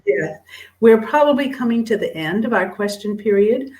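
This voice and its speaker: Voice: mid-range voice, animated, moderate pace, darkish tone, a little hiss or hum. A seminar host moderating a Q&A with a guest, speaking in a courteous, formal way.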